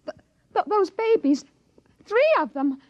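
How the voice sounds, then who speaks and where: An actress playing a brisk, snappy, easily flustered young woman inspector in a 1950s radio drama. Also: very high voice, sing-song, a little mellow, dry recording, full character voice. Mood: shocked, flustered. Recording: dull vintage radio recording.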